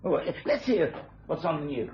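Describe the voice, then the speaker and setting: A man's voice: on the high side, sing-song, normal speed, roomy sound. An actor playing a chatty, jokey British barman in a radio drama. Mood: breezy. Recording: dull lo-fi radio drama recording.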